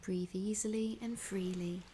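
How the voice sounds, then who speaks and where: Woman, guided meditation narrator, soft breathy voice, soothing, unhurried, medium pitch, faint background noise. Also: steady tone. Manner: soft, soothing, gently instructive; unhurried, with long pauses between phrases. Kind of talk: scripted guided imagery meditation. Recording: clear background ambience noise.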